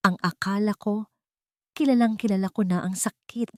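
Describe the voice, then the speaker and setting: A woman's voice: mid-range voice, fairly even intonation, moderate pace, darkish tone, dry recording, pitched above the performer's own voice, close to an everyday voice. A betrayed young woman in a romance audio story, played by a woman narrator. She sounds bitter and grieving. The recording is dry and close. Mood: pained.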